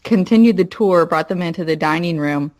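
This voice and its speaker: A woman podcast guest telling a personal anecdote in halting, informal speech with casual swearing. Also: on the low side, darkish tone.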